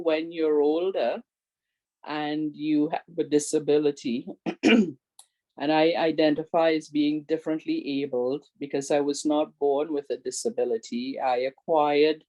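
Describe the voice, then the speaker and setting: A woman's voice: deep voice, mellow, rough recording. An older woman with a big, strong voice gives an earnest community awareness talk and makes repeated, pleading requests to her listeners. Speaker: presenter and advocate.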